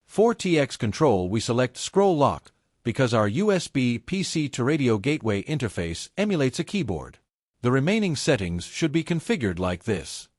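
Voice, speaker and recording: A man reading a scripted technical tutorial step by step in a measured, methodical way. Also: mid-range voice, no echo, studio quality. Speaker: tutorial presenter.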